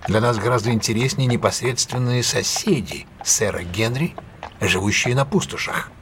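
An actor playing a shrewd, authoritative detective in an audio drama, speaking precisely and in a measured way as he instructs. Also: medium pitch, a bit bright, slight background noise, natural voice. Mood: instructive, measured. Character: shrewd, commanding male detective giving careful instructions.

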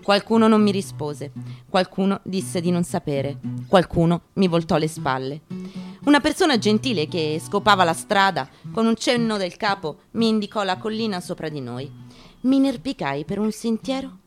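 A woman gives a dramatic, measured literary reading, breaking emphatic short phrases with deliberate pauses. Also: medium pitch, lively intonation. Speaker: stage actress reading aloud. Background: acoustic guitar.

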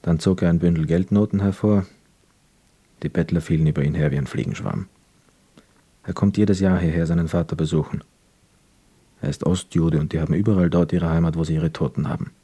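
An actor playing a poor, wistful young man who narrates a literary radio drama in a measured, reflective manner. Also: deep voice, fairly even intonation, slightly rough. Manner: measured, reflective, hushed inner asides.

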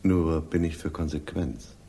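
An actor playing a self-assured, pious police inspector in a radio crime drama, measured and condescending in his authority. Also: extremely low pitch, fairly even intonation, creaky, warm tone, a little hiss or hum, heavily acted. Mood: cool, warning. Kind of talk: 1960s German radio crime drama acting.

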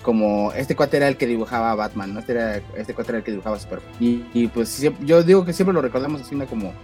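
A man's voice: mid-range voice, darkish tone, faint music behind. A man with a Mexican Spanish accent on a casual comics panel, ending his points with frequent "¿no?" tag questions. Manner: fast, tag questions "¿no?" often. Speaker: podcast panelist. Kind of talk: casual comic-book chat.

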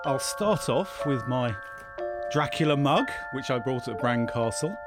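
A British man, a geography professor, explaining informally in an interview, piecing his phrases together with pauses. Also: medium pitch, lively intonation, over loud music. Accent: British English.

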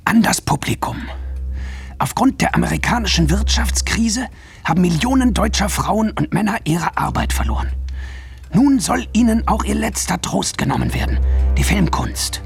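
An agitator who rants with slow, hammering emphasis. His voice sits high and has a gravelly fry, with faint music underneath. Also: sing-song, exaggerated voice. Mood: ranting. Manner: slow, emphatic, building to a rant. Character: man dictating a fiery anti-talkie proclamation.

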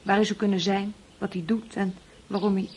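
An actress playing a gentle, worried middle-aged wife and mother in a radio drama. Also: medium pitch, flat delivery, brisk, a little hiss or hum, close to an everyday voice. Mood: wistful, worried.

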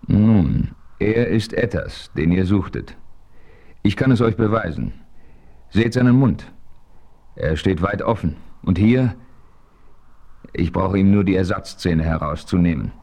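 A seasoned frontier hero who tells the story himself in an old radio play. He speaks calmly and with authority, in a very low voice. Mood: firm. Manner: calm, measured, authoritative. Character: seasoned frontier hero narrating his adventure. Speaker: narrator. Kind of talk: 1970s radio play narration.

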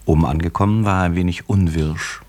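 Male narrator, radio drama. Low, rough-edged voice, scripted literary prose told with dry irony. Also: steady tone, brisk, a little mellow.

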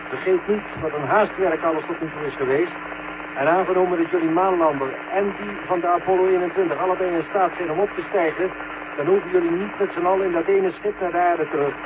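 Casting: matter-of-fact mission control officer, 1970 radio drama, thin phone-like transmission with clear static. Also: male voice, high-pitched, fairly quick. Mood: calm, matter-of-fact. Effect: radio link filter. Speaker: actor in a radio play. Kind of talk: 1970 Dutch science fiction radio drama acting.

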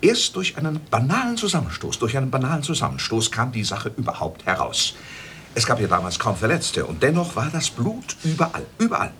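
A man's voice: mid-range voice, wildly swooping pitch, a little fast, a bit bright. An actor playing an obsessive old eccentric who witnesses accidents, repeating his phrases theatrically in a German radio play. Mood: eerily earnest. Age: older. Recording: faintly noisy old cassette radio recording.

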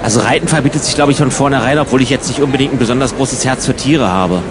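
A man's voice: medium pitch, fast, a crowd in the background, lightly acted. A man playing a matter-of-fact young emigrant and know-it-all travel companion in a radio play. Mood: dry, explanatory. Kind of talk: hobby radio play dialogue and narration.

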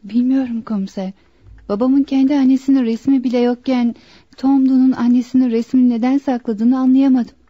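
A woman's voice: high-pitched, steady tone, fairly quick, dry recording. An actress playing a bewildered, earnest young woman under suspicion in a radio play, on a dull recording. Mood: puzzled. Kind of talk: radio theatre acting.

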